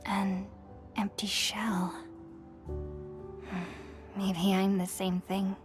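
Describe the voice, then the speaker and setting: An actress voicing a vulnerable, self-doubting amnesiac leader in a video game, speaking in a hushed, hesitant way. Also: female voice, medium pitch, flat delivery, very slow, over music. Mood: subdued. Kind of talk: dramatic video game dialogue.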